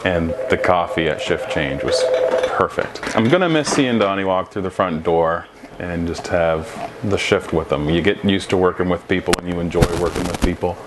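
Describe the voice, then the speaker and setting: A deep-voiced man gives casual interview answers as a dispatcher. Clear background noise sits behind his voice. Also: moderate pace. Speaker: emergency dispatcher.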